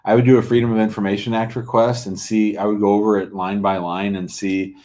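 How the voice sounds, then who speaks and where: Low-voiced man, blunt call-in advice host. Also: flat delivery, brisk, darkish tone. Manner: blunt, probing, informal. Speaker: host taking callers' immigration questions. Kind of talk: conversational legal advice on a live call-in show.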